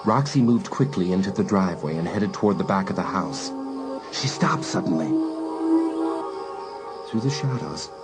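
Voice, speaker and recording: A man narrating a teen thriller audiobook in a measured, dramatic, suspenseful style. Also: deep voice, expressive. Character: male storyteller of a teen thriller. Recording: loud music behind narration.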